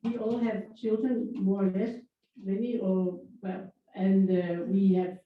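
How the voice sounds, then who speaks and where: A woman's voice: mid-range voice, little pitch movement, slow. A woman from Denmark making an earnest audience comment at a workshop, urging others to act. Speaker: workshop participant. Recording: thin phone-like sound, echoey hall, far.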